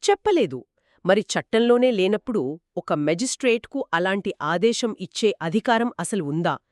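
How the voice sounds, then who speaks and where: A woman's voice: medium pitch, lively intonation, moderate pace, darkish tone, no room sound, studio quality. A woman co-hosting a scripted explainer discussion, speaking in an assured, explanatory way. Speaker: explainer co-host. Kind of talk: scripted two-person legal explainer discussion.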